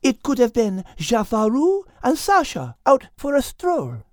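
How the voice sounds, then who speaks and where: A man voicing a calm, wise female cat who reasons carefully and drops French words. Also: very high-pitched, slow pace, no room sound, studio quality, voice pushed up, heavily acted. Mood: reasoning.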